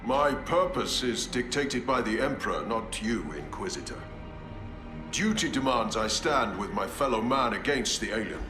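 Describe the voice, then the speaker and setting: An actor playing a stern, noble chapter master of space marines who speaks with commanding resolve. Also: male voice, medium pitch, animated, normal speed, natural voice. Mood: resolute. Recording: roomy game cutscene audio with music.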